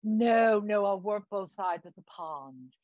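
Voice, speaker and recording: A woman's voice: medium pitch, lively intonation, takes it slowly, no room sound. Woman as flamboyant male theater type, put-on British accent, grand and emphatic, close dry sound, slightly dull recording. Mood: grand, self-dramatizing. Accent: affected British. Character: flamboyant theatrical man friend, affecting a British accent, dramatic. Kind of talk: theatrical monologue, acted dialogue.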